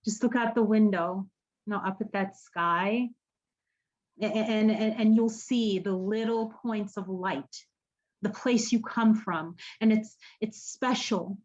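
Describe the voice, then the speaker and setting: An actress playing an earnest, haunted woman in an audio drama, speaking haltingly and searching for her words. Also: medium pitch, dull audio, natural voice. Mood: tender, reflective. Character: earnest, haunted woman running an apocalypse support group. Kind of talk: naturalistic podcast radio drama acting.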